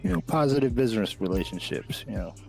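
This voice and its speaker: A man playing a laid-back cannabis dealer in an improvised game roleplay, chatting in a halting, slangy way. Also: mid-range voice, expressive, gravelly, darkish tone, soft background music. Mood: easygoing. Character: laid-back male cannabis shop businessman in crime roleplay. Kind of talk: improvised roleplay, casual chat.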